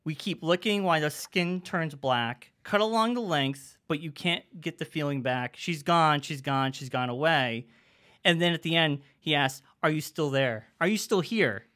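A man co-hosting a podcast in casual discussion, talking in an excitable, rambling way. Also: on the high side, moderate pace. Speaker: podcast co-host.

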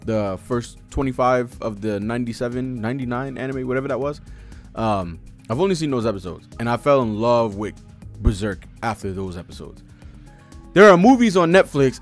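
A young American man giving casual, profane, slang-filled live commentary as a manga reaction host, speaking expressively into a close desktop microphone. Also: mid-range voice. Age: young adult. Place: cluttered room at home. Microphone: desktop microphone close in front.

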